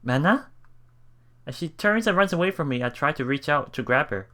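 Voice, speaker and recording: A man reading a visual novel aloud for a Let's Play, expressive and dramatic in first-person narration. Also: on the high side, fairly quick. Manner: expressive, dramatic, with murmured reactions.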